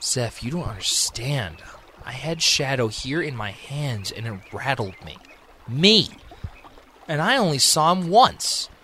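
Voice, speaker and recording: A man's voice: medium pitch, big pitch swings, unhurried, pushed and bright. Casting: male actor as earnest echidna guardian, protective and worried, amateur radio drama, slight background noise. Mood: worried, insistent.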